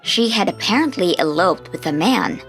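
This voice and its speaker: A woman's voice: on the low side, expressive, moderate pace, fairly bright tone, faint music behind. A woman reading scripted story narration as the narrator of a comic dub.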